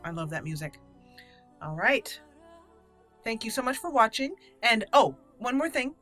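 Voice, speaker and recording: A woman's voice: a little high, big pitch swings, measured pace, a bit bright, soft background music. Playful woman hosting a game playthrough. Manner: playful, theatrical. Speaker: gaming channel host. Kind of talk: roleplay let's play commentary.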